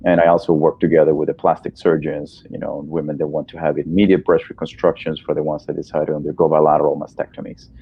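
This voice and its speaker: Very deep-voiced man in his thirties. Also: little pitch movement, fast, creaky, few pauses, husky, dull audio. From El Salvador. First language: Spanish. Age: 30s. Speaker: guest, breast cancer surgeon. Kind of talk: casual conversational interview answers.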